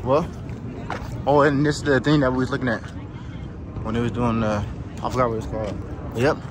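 Excitable young man vlogging outdoors. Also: mid-range voice, fairly quick. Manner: excitable, slangy, laughs often. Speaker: vlogger host. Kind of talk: casual vlog chat. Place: outdoors on a city pier and rooftop. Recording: noisy outdoor city street.

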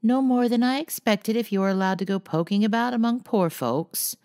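A woman voicing a gruff, sharp-tongued old aunt who scolds and predicts the worst. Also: medium pitch, brisk, pitched above the performer's own voice, close to an everyday voice. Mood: scolding.

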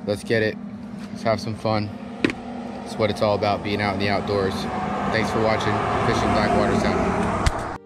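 Young dude hyping up his fishing trip, deepish voice, outdoor action cam audio with music in the background. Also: completely flat delivery, normal speed, long pauses. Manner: upbeat, keeps calling out to viewers. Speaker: fishing vlogger, host. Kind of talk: casual running commentary while fishing. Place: outdoors on a grassy canal bank. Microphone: wide-angle action camera on his body. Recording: music playing under the voice.

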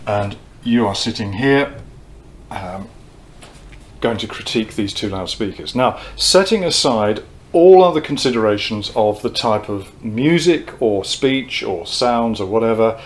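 A man in his sixties gives an informal technical lecture, explaining in a measured way with pauses mid-phrase. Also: on the low side, lively intonation. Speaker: presenter of technical talks on loudspeakers. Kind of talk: informal whiteboard lecture, reading quotes from notes. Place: indoor room at a whiteboard.

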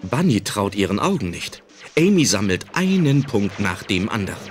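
A man's voice: fairly low pitch, big pitch swings, moderate pace, over music. A man narrating a scripted anime audio drama as its storyteller.

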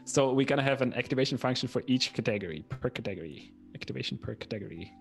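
A man's voice: mid-range voice, animated, fast talker, creaky, faint music behind. A man with an Austrian accent, a native German speaker, chatting casually and reading aloud as co-host of a study livestream. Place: small room indoors. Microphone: microphone on a boom arm close to the mouth.

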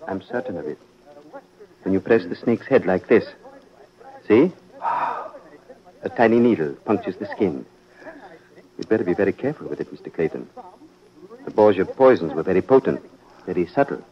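An actor playing a courtly, mysterious prince with formal, polished manners in an old-time radio drama. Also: male voice, deep voice, animated, normal speed, long pauses, muffled recording. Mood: knowing, intrigued. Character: suave, courtly, mysterious prince, devilish collector.

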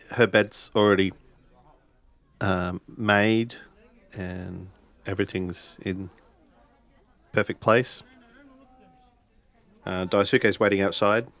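Halting man with a low voice. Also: steady tone, a bit bright. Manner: halting, searching for words. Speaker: tabletop roleplaying player. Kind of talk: improvised third-person roleplay narration.

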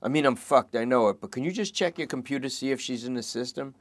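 A whining, rambling junkie thief under arrest, as a man reads him aloud, pitched well above the reader's usual voice. He pleads fast and nervously and keeps talking over himself. The sound is dry and close, with no room around it. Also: dry recording, close to an everyday voice. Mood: pleading. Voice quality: pleading, rambling and self-pitying. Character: whiny petty-thief junkie man in custody.

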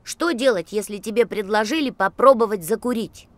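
A woman's voice: medium pitch, normal speed, no echo, lightly acted. A woman voicing a cheerful young girl who reads out viewers' letters in a children's cartoon. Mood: eager.

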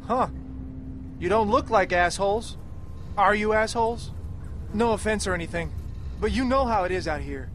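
Chatty wry survivor in a zombie game, pretty high voice, faint outdoor noise. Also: male voice, moderate pace, pauses often, fairly bright tone. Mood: wry, sociable. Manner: easygoing, wry. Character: friendly, talkative, wry male wanderer in apocalypse. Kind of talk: video game voice acting.

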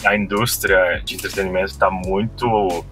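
A man's voice: deep voice, moderate pace, soft background music. A Brazilian man in his thirties, a podcast guest, chatting casually and with animation inside a car. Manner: relaxed, slangy, animated storytelling. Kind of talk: casual chat. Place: parked car interior. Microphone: fixed dashboard camera.

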